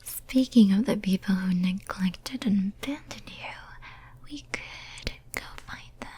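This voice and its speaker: A woman playing a lonely, devoted, possessive female demon in an ASMR roleplay, whispering tenderly and intimately. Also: medium pitch. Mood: quietly menacing. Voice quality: soft breathy whisper. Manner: tender, clingy, intimate, slow and halting.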